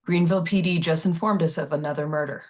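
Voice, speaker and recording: A woman's voice: sits low, steady tone, quick pace, close to an everyday voice. A woman playing a determined, plain-spoken character in a thriller screenplay table read. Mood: earnest.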